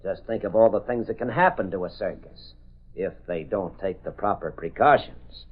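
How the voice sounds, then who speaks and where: An actor playing a threatening American racketeer with tough, slangy gangster talk in a 1930s radio drama. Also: male voice, deep voice, normal speed, husky. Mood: smug threatening. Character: menacing male racketeer ex-convict selling circus protection insurance. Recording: muffled, narrow-band old radio recording.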